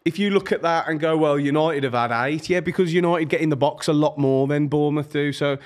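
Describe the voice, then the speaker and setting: A man's voice: fairly high pitch, a little fast. A young man debating football casually and emphatically on a podcast, speaking close to a studio microphone. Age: young adult. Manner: argumentative, emphatic. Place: small studio, indoors. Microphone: close podcast microphone on table stand.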